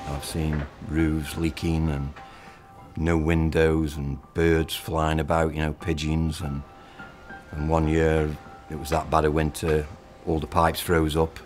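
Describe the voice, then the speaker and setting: Middle-aged potter, plain-spoken, very low voice, flat delivery, music underneath. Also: male voice, slow pace. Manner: plain-spoken, homely turns of phrase. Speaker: pottery factory worker. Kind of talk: casual interview recollection.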